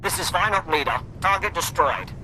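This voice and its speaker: A man's voice: lively intonation, normal speed, bright, forceful tone, heavily acted. An actor playing a confident male squadron leader over the radio in a video game. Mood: cocky. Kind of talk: video game combat acting. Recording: faintly noisy, rumbling cockpit radio.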